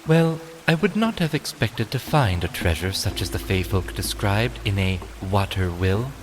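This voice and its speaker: A man playing a scornful, sceptical elf adventurer, flat and dismissive, in a dark fantasy audio drama. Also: fairly low pitch, normal speed, background noise, lightly acted. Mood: grudging.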